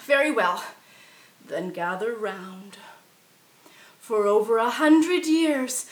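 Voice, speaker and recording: A woman's voice: high-pitched, reverberant. A woman playing a shrieking Victorian ghost. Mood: confiding. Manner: theatrical, swings from shrieks to hushed confiding. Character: ghost of a murdered 1870s wife, dramatic and flighty. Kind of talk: costumed ghost monologue.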